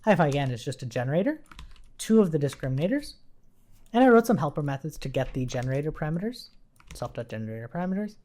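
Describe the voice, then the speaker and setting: A woman's voice: deep voice, big pitch swings, fast, dark tone. A woman giving an informal live coding tutorial, explaining step by step as an instructor.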